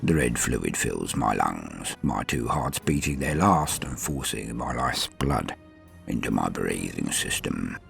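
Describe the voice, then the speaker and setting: Very deep, gravelly old warrior sergeant played by a man. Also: lively intonation, fairly bright tone, soft background music, full character voice. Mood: weary, wry. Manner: solemn, halting, dramatic delivery with swings between murmur and force. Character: dying veteran space-marine sergeant, stoic, proud, dutiful, centuries old. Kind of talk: scripted first-person audio drama monologue.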